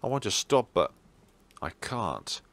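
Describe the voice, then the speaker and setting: A man's voice: on the low side, big pitch swings, a little slow, fairly bright tone. A man reading a visual novel's story aloud as dramatic narration for a let's play. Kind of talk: dramatic narration of game text.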